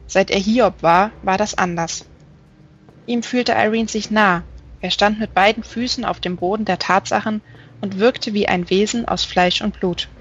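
A woman's voice: mid-range voice, lo-fi sound. A woman reading a novel aloud as scripted narration, like an audiobook. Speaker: audiobook reader.

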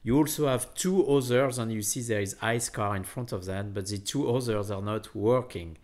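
A man narrating a casual travel vlog in a hesitant, halting way, often repeating words. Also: lowish voice, darkish tone. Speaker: vlogger.

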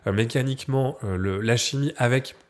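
A man's voice: mid-range voice, fairly quick. A young man with a head cold, casually explaining his home film developing with many fillers and restarts. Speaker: film photography youtuber. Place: home darkroom corner, then a dim studio room. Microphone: camera mic, then a studio mic close up.

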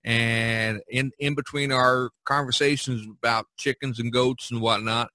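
A man hosting a podcast in casual chat, talking in a halting, conversational way. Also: medium pitch, steady tone, pushed and bright, dry recording. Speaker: podcast host. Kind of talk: casual chat about topics from a list.

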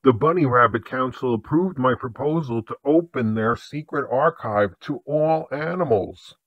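A man speaking in a matter-of-fact, brisk way, as a calm, businesslike helper in a short skit. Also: medium pitch, no room sound, close to an everyday voice. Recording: dull, dry recording.

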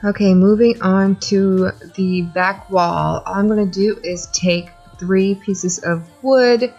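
A woman's voice: mid-range voice, steady tone, slow, a little mellow, lo-fi sound, soft background music. A young woman casually explaining, step by step, how she builds a bench in a closet. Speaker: DIY vlogger. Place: small closet in a house.

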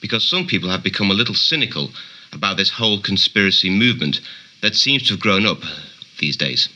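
A glib male chat show host in a radio drama. His voice is low and very bright, with lively swooping intonation. He is smooth, teasing and openly sceptical. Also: a little fast, full character voice, poor audio.

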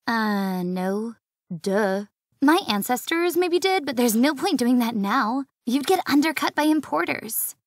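A blunt, wry young woman, played by an actress in an audiobook. Her voice is high and very close and dry, with a deadpan delivery. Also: unhurried, no echo. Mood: wry, unimpressed.

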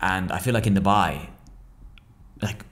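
A man's voice: deep voice, measured pace, a bit bright. A young man in his twenties with an English accent, talking bluntly on a podcast and swearing freely at a table mic indoors. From England. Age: 20s. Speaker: podcast guest, business owner. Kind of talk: casual podcast conversation. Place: room seen, small room at a table indoors. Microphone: boom mic on an arm.

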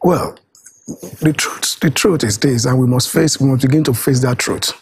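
A man's voice: mid-range voice, normal speed, husky. A man from Nigeria, a pastor and youth evangelist, answering a studio interview emphatically and repeating his phrases. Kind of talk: earnest guest answers in an interview.

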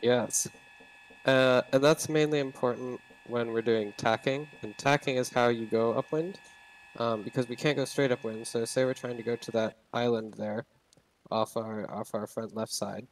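A man's voice: mid-range voice, steady tone, normal speed, slight background noise, poor audio. A young man casually explaining a game's sailing controls, rambling with many fillers and restarts. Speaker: game tutorial narrator. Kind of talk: casual gameplay tutorial.

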